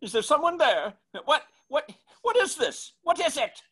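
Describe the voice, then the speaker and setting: A man's voice: very high voice, very animated, normal speed, sharp tone, heavily acted. A man playing a frightened old man in a radio horror drama, stammering and pleading in alarm.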